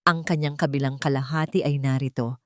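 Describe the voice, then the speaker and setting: A woman's voice: a bass voice, fairly even intonation, a little fast, dry recording, clean studio sound. A woman narrating a scripted romance drama in a dramatic storytelling style.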